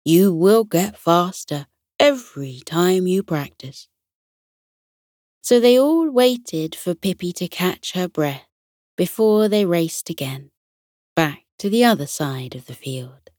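Unhurried low-voiced woman telling bedtime stories. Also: animated, darkish tone, dry recording, clean studio sound. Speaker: bedtime story narrator. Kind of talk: scripted children's bedtime story narration.

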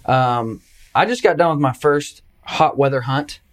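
A man's voice: medium pitch, expressive, measured pace. Joking, rambling podcast host man. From Arkansas. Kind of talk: casual chat.

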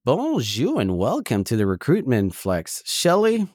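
A man's voice: medium pitch, huge pitch swings, unhurried, over loud music. A man co-hosting a recruitment podcast, joking playfully with his co-host and guest. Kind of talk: podcast chat.

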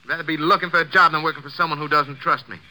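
Sharp-voiced, hot-headed young male deputy. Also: fairly high pitch, steady tone, rapid-fire, rough recording. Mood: indignant. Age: young adult. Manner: curt, combative. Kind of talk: 1950s western radio drama acting. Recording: old radio recording.

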